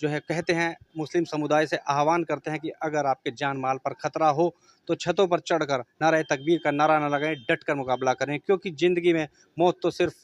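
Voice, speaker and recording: A man's voice: fairly high pitch, steady tone, fairly quick. A man giving unscripted spoken commentary.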